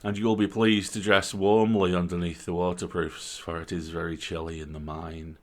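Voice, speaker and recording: A man voicing a gentlemanly valet in a story reading, formal and deferential. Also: sits low, level tone, moderate pace, lightly acted.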